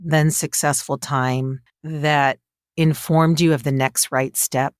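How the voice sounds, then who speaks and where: A woman with a deep voice hosts an interview podcast. She talks conversationally to her guest, and the recording is close and dry, without room echo. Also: steady tone, takes it slowly.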